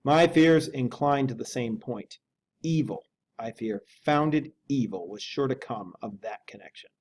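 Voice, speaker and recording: A solemn Victorian gentleman lawyer, as a man reads him aloud, with a dark, mellow tone and medium pitch. He speaks formally and frankly, in measured, resolute phrases. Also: a little hiss or hum, lightly acted. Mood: grave. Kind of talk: audiobook reading of a classic novel.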